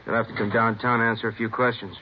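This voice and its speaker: Actor as brusque, fast-talking cop. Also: male voice, medium pitch, flat delivery, close to an everyday voice. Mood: taunting. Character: brusque, taunting male robbery squad cop. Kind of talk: 1950s radio drama acting. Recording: dull, noisy old radio recording.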